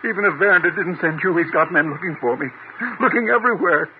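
Jumpy, high-voiced hunted man an actor's part. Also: fast talker, natural voice. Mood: desperate. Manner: tense, agitated, insistent. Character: desperate, jumpy man hiding from killers. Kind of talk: 1950s radio drama acting. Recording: noisy, tinny 1950s radio recording.

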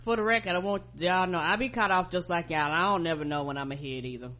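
A woman's voice: fairly low pitch, fast talker, a bit bright. An American woman co-hosting a podcast, chatting casually and reacting to her partner in a blunt, slangy way. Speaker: podcast co-host. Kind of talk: casual chat, reacting to co-host.

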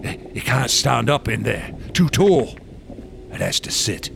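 Raving, creaky-voiced mad sculptor. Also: male voice, mid-range voice, expressive, measured pace, background noise. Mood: hushed zealous menace. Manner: theatrical, swinging from whispers to shrieks, cackling. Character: deranged, fanatical wax sculptor, gloating and raving. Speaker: actor in a radio drama. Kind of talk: horror radio drama acting.

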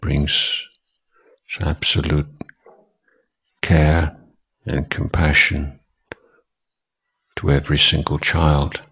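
A man with an extremely low, husky voice leads a guided meditation. He speaks very slowly and deliberately, setting down short phrases with long pauses between them. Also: flat delivery, bright, forceful tone. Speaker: Buddhist monk and meditation teacher.

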